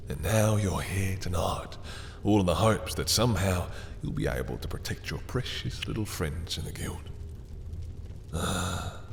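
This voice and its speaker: A man voicing a deep, silky, sadistic vampire. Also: long reverb tail, slight background noise, heavily acted. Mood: mocking. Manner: smooth and taunting, with soft chuckles and low whispers. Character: possessive sadistic male vampire lord, silky and menacing. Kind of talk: audio roleplay.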